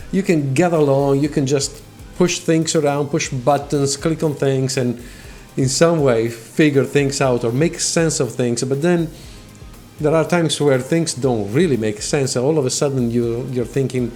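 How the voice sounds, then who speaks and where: Italian-accented middle-aged male host, earnest and direct, mid-pitched voice, faint music under him. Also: darkish tone. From Italy. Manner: earnest, with repeated words and restarts. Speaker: channel host. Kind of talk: talking to camera, motivational explainer.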